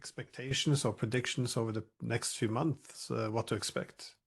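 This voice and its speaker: A man's voice: medium pitch, steady tone, measured pace, vocal fry, a crowd in the background. A man hosting a political interview, phrasing his questions hesitantly as he searches for words. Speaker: interview host, professor.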